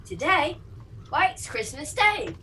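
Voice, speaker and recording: A woman plays an eager, cheerful street boy in a radio drama. The voice is extremely high and bright, bouncing widely in pitch. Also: sing-song, takes it slowly, full character voice. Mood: eager, puzzled.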